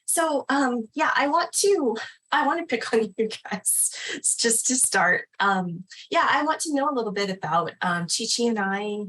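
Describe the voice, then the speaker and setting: A woman's voice: a little high, lively intonation, normal speed, fairly bright tone, rough recording. A woman hosting an informal event, speaking casually and off the cuff with hesitant, loosely phrased sentences. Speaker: event facilitator and host. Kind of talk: casual unscripted hosting of a literary launch.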